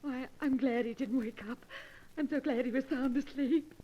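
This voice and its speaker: An actress playing a conniving wife and murder accomplice in 1940s radio mystery style, melodramatic and conspiratorial. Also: female voice, high voice, fairly even intonation, moderate pace, highs cut off. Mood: tense, conspiratorial. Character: conniving wife, secret accomplice to her lover's murder plot. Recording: very noisy old radio show recording.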